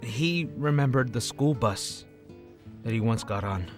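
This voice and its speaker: A man's voice: medium pitch, unhurried, music in the background, lightly acted. An actor playing a wry, jokey man with a troubled past, talking in a casual, slangy, hesitant way in an audio drama. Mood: reflective, somber. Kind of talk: acted audio drama dialogue.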